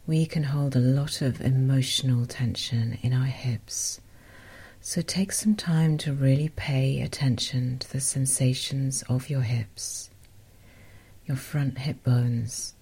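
A woman softly guiding a meditation as host, in a gentle, unhurried, breathy voice that often nears a whisper. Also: very deep voice. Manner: gentle, unhurried, softly spoken with short phrases. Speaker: meditation guide and podcast host. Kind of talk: guided meditation, soothing instruction.

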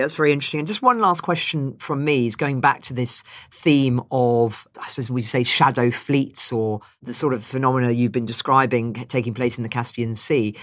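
A man of medium pitch interviews as a podcast co-host, heard in a dry, close recording. His questions are polite and conversational, with roundabout phrasing. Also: dry recording.

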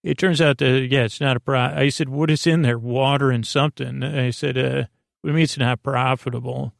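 A man hosting a bedtime podcast in a meandering, halting monologue, doubling back on phrases with deadpan whimsy. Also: mid-range voice, gravelly, dry recording, studio quality. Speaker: podcast host.

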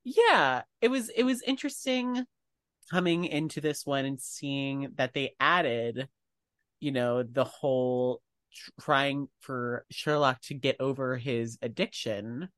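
A man's voice: medium pitch, slow, fairly bright tone, clear, resonant tone, no room sound. A man co-hosting a podcast, talking in a casual, conversational style. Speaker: podcast co-host. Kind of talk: casual discussion.

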